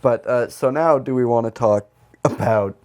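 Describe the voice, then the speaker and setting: Guy cohosting a podcast, mid pitched voice, rambly and jokey, swears a bit, just chatting. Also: moderate pace, a little mellow. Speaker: podcast co-host. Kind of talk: casual comedic movie chat.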